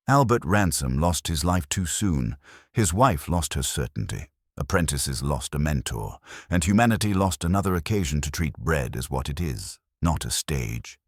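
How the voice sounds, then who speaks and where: A man reads as a pompous, brilliant detective with a hugely deep voice. He is stern and formal, handing down terse judgments like rulings in an audiobook mystery. His intonation rises and falls firmly, recorded very dry. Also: dry recording, exaggerated voice. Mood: grave, dismissive.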